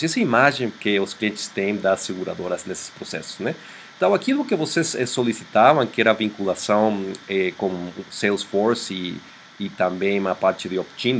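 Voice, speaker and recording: A man from Mexico in his thirties walks through a software demo in Portuguese colored by a Mexican Spanish accent. His voice sits fairly low, and he talks earnestly with many hesitant fillers. The laptop mic leaves a faint hum of room ambience behind him. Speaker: startup founder presenting a product demo. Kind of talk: screen-share sales demo. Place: small room indoors.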